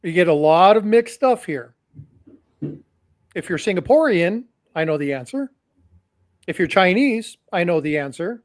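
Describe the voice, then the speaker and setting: High-voiced man warning his viewers. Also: lively intonation, a little slow, long pauses, dry recording, studio quality. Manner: cautionary, addresses audience directly, repeats phrases. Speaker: host. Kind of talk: livestream commentary.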